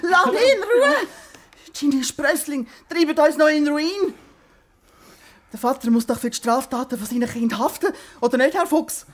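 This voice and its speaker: A woman's voice: sits high, animated, moderate pace. A woman playing a sick, spiteful, scolding fairy-tale stepmother with a Swiss German accent in a children's radio play. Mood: spiteful ranting. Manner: scolding, complaining.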